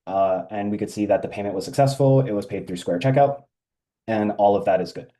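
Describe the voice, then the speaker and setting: A man walking through a software feature in a casual screen-share demo, with frequent ums and uhs. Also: fairly low pitch, fairly quick.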